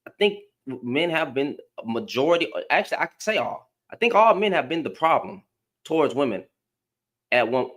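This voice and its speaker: A man's voice: mid-range voice, fairly bright tone. A man from Houston with a Southern accent, hosting a live panel discussion in a rambling, slangy way with many restarts. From Houston, Texas. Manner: rambling, repeats words, slangy.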